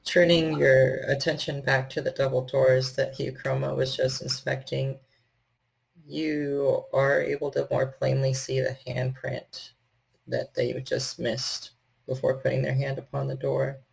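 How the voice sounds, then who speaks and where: A man with a gravelly, husky voice, narrating a horror tabletop game as storyteller in measured, literary phrasing. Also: mid-range voice, level tone, low-quality recording.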